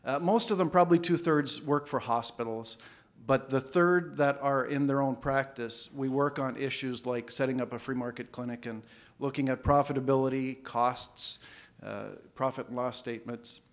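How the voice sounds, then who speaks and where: A man giving a conference talk in a relaxed, casual way, often repeating words as he goes. English is not his first language. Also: medium pitch, normal speed. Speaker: conference speaker, financial planner. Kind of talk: conference presentation. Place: conference hall.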